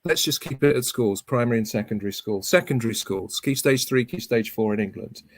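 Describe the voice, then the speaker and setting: A man's voice: mid-range voice, brisk. A man posing a question in an academic panel discussion, speaking in articulate, academic phrasing.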